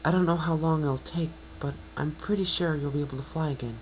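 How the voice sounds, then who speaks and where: A man's voice: a little high, fairly quick, slight background noise, pitched above the performer's own voice, close to an everyday voice, low-quality recording. A man voicing a kind, studious young female unicorn pony in an amateur story reading. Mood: gentle, hopeful.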